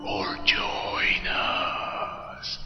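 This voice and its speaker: A man voicing the ghost of a little girl in a hoarse, eerie, drawn-out whisper. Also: roomy sound, big-room sound, dull audio, faint music behind. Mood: eerie, luring.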